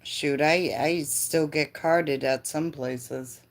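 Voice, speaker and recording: A woman's voice: sits low, steady tone, takes it slowly. A woman in her fifties chatting casually with live viewers, laughing often and answering comments. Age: 50s. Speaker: livestream host.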